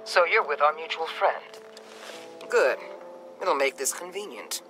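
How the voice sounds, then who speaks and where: An actor playing a ruthless, commanding government boss in a video game, curt and authoritative. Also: male voice, on the high side, expressive, measured pace, faint music behind. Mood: cold menace.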